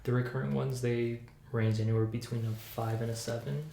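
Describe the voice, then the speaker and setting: A soft-spoken young adult playing a patient in an ASMR roleplay, giving hesitant, understated answers. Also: medium pitch, flat delivery, fairly quick, gravelly, a crowd in the background. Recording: echoey room, faint hiss.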